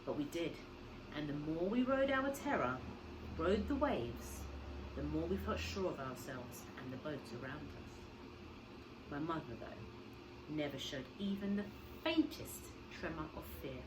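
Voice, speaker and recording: A woman reading a children's novel aloud like a schoolteacher, with expressive, dramatic storytelling. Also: low-pitched, noisy background.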